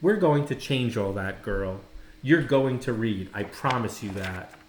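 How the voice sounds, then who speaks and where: A man reading a storybook and voicing a kind, encouraging schoolteacher in a gentle, earnest, emphatic manner. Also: medium pitch, animated, a little slow, slight background noise, voice pushed down, natural voice. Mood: determined. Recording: roomy, echoing indoor sound.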